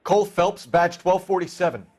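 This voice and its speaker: An actor playing a stern, pressing 1940s police detective in a noir game, clipped, formal and accusatory. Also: male voice, high-pitched, steady tone, normal speed, darkish tone, slight background noise, natural voice. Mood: businesslike. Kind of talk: film noir game acting.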